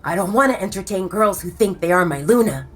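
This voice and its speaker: A woman's voice: medium pitch, animated, fairly quick, lightly acted. A woman voicing a stern, motherly middle-aged woman who nags and insists in an audiobook. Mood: insistent. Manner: nagging, insistent. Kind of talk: audiobook character reading.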